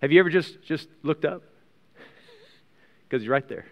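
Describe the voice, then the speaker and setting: A man's voice: fairly high pitch, lively intonation, measured pace, gravelly, lo-fi sound. An American man preaching a sermon in a conversational way, with rhetorical questions and asides, reading from scripture. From United States. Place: large reverberant room, preaching to a congregation. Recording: long hall reverb.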